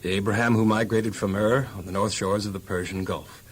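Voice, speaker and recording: A man playing an earnest, devout young slave lad with halting, emotional delivery in an audio Bible drama. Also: lowish voice, steady tone, a little hiss or hum, natural voice. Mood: respectful, steadfast.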